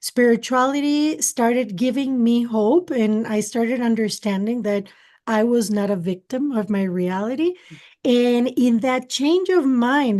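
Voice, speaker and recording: Earnest Costa Rican-born woman, high voice. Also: warm tone. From Costa Rica. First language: Spanish. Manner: earnest, reflective storytelling. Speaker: guest, spiritual channeler. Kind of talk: interview answers, personal story.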